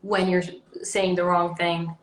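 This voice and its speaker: A woman's voice: fairly low pitch, flat delivery, slow, echoey room. A young adult woman from Sweden with a Swedish accent, chatting casually as an interview guest, rambling with many ums and likes. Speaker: actress guest.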